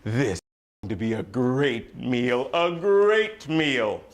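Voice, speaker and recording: A slow, dopey husband in a folk tale who only thinks about food, as a man storyteller drops his voice low. He drawls his words in a contented, simple way. The delivery has lively swings in pitch.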